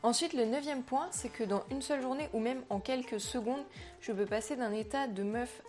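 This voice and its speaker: A young woman, a French native speaker, chatting to camera in a slangy, animated way, talking about herself as a vlogger. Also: fairly high pitch, normal speed, soft background music. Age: young adult. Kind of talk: casual chat to camera.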